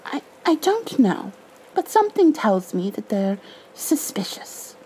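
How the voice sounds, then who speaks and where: Woman voicing an earnest, high-pitched storybook bear. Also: very animated, a little slow, dark tone, slight background noise, rough recording. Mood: hushed, suspicious.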